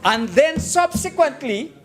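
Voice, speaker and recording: A man's voice: very high-pitched, lively intonation, measured pace, soft background music. A Trinidadian man giving a formal parliamentary debate speech as an opposition member, emphatic and oratorical, repeating phrases for effect. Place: parliament chamber.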